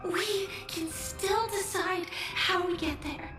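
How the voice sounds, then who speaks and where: A woman playing a resolute young game heroine who defies fate, speaking quietly, deliberately and earnestly. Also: extremely high pitch, fairly even intonation. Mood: quietly defiant. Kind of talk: dramatic video game voice acting. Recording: echoey, distant, music underneath. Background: game music.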